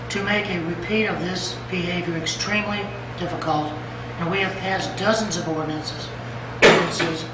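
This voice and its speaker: Hoarse man, stern formal public statement, distant echoey chamber mic with noise. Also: high-pitched. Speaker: mayor chairing a city board meeting. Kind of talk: reading a prepared statement at a public meeting. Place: council chamber.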